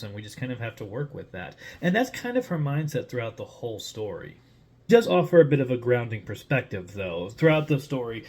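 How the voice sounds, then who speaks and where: A man speaking casually and informally, explaining things in a conversational monologue as a writer and host. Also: mid-range voice. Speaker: writer and host introducing a story character.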